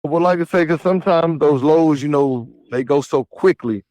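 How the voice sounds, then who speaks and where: A man's voice: a little high, normal speed, darkish tone, no room sound. Casual thirty-something trucker man. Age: 30s. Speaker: truck driver guest. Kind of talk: casual phone interview. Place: outdoors at a trick-or-treat event.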